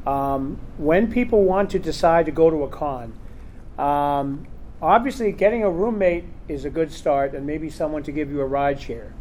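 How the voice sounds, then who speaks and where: A man's voice: fairly high pitch, normal speed, a little mellow, a little hiss or hum. Chatty man hosting a convention panel. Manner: rambling, chatty, full of fillers. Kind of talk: casual panel talk. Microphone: recorder in the room.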